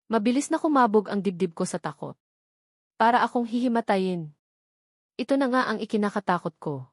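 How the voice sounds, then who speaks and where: A woman's voice: medium pitch, animated, fairly quick, no room sound, studio quality. A woman giving a scripted first-person narration of a dramatic novel. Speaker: story narrator.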